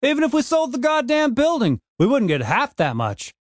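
A man's voice: very high-pitched, wildly swooping pitch, normal speed, no room sound, clean studio sound, pitched above the performer's own voice, heavily acted. A man voicing a crippled male gnome innkeeper who talks bluntly and swears a lot. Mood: rueful.

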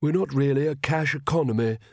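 A man voicing a well-meaning, awkward king who speaks formally and courteously with halting diffidence, in an audiobook. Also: medium pitch, a little mellow, no echo, studio quality, lightly acted. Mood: earnest. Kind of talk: audiobook character dialogue.

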